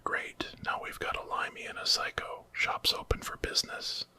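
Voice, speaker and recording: A man acts out a tough male monster fighter in an ASMR horror story. He is sardonic and sarcastic. The voice is a breathy, very bright whisper. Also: moderate pace.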